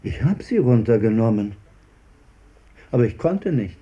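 An actor playing an eccentric, giggling drunken vagrant who speaks in playful, mocking riddles in a radio play. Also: male voice, medium pitch, very animated, a little slow, warm tone, slightly rough, lo-fi sound. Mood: sly, stubborn. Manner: chuckling, sing-song, playful riddling. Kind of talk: German radio play acting.

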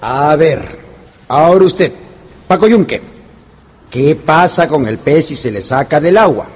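A man's voice: on the high side, expressive, a little slow, breathy edge. A man playing a stern, didactic schoolteacher in a radio drama, speaking with authority. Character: stern, patient-paced male schoolteacher quizzing pupils.

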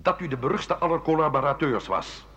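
An actor playing a formal accuser reading out charges in a radio play. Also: male voice, fairly high pitch, animated, a little fast, sharp tone. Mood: formal, accusing. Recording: dull 1980 radio drama recording.

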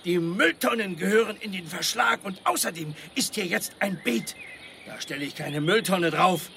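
A grumpy male neighbour in a radio crime drama, with a high, forceful voice. He scolds gruffly and pedantically over audible background noise. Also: animated, normal speed, full character voice. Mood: indignant scolding.

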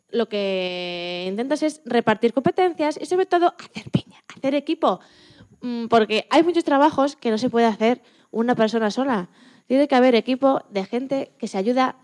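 Woman playing a high-voiced, chatty Spanish scientist. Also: normal speed. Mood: earnest. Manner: expressive, chatty, self-correcting. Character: chatty, proud, enthusiastic woman Antarctic scientist. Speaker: interview guest.

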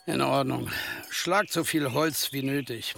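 An actor in a radio drama playing a wary village headman, gravelly and sharp, questioning and cautioning. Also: medium pitch, unhurried, faint music behind.

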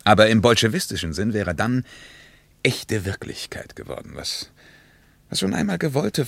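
Low-voiced, ironic cultured man. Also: vocal fry, a bit bright, no echo, full character voice. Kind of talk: radio play dialogue.

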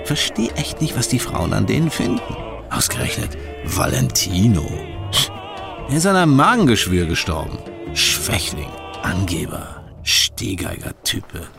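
A cynical gangland tough in a radio play, gravelly and scornful. His pitch swings lively over music behind him. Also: measured pace, exaggerated voice. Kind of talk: German radio drama acting.

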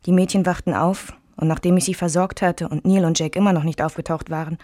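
A woman's voice: on the low side, fairly even intonation, fast talker, mellow, dry recording, studio quality, lightly acted. An actress playing a weary wife and mother who recalls her troubled marriage in restrained, literary radio play narration. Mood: restrained, reflective.

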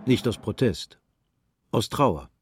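A man narrating a literary radio drama in a sober, measured manner. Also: on the low side, lively intonation, slightly rough, no room sound, studio quality.

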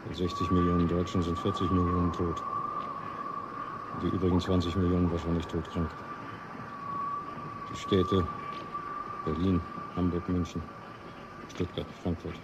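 A man playing a hopeless survivor waiting for death in a 1980s radio drama. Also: sits low. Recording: very noisy radio play soundscape.

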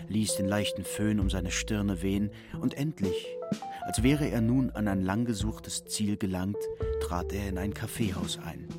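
Low-voiced male narrator over loud guitar. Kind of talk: literary narration in a radio play. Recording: loud guitar music under voice.

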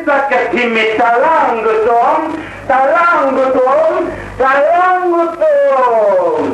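Tyrant village lord, very high-pitched, slow, drunken, imperious, radio drama. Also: male voice. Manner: blustering, imperious, chanting nonsense syllables. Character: drunken, threatening, capricious male village lord. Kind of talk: radio play acting. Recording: echoey, distant, faintly noisy radio play.

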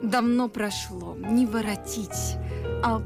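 An actress playing a pert, shrewd young maidservant, chatty and teasing, in a folksy theatrical radio play style. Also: female voice, mid-range voice, slow pace, creaky. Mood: teasing, nostalgic. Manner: theatrical, folksy, deferential yet cheeky. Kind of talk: radio play acting of classic verse comedy. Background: faint music.

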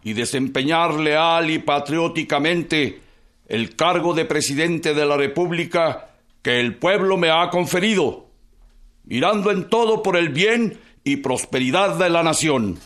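A man's voice: a little high, a bit bright. An actor playing a solemn statesman with a Mexican accent, formally swearing an oath in a radio docudrama. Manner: formal, measured.

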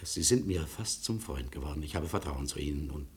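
An actor playing a haunted, courteous middle-aged lawyer with asthma, telling his story formally and deliberately in 1950s German radio drama style. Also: very deep voice, lively intonation. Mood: confiding, grave.

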